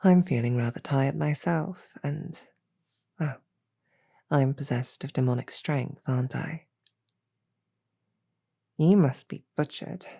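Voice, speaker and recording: A soft-spoken demoness archer acted by a woman in an audio drama, deferential and quietly affectionate. Her voice is low and mellow, with a hushed, gentle delivery at a very slow, measured pace. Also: creaky, breathy edge, full character voice. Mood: gentle, tired.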